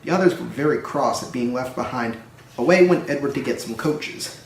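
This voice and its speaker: A man's voice: mid-range voice, brisk, slight background noise. A man narrating a children's story as a storybook reader. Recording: roomy echo, distant microphone.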